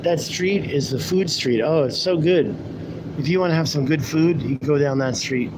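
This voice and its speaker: A man's voice: fairly high pitch, moderate pace. A man chatting casually to his viewers on a live stream while riding a city bus, rambling as he goes and talking through a pulled-down mask. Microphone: handheld camera mic, speaking through a pulled-down mask. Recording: bus noise behind. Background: bus engine and traffic.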